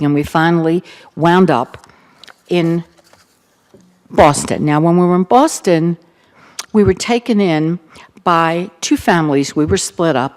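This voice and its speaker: An older woman with a Baltimore accent, the keynote speaker, recounting her family's past in an earnest, reflective talk with gentle humor. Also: sits low. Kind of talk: personal testimony talk.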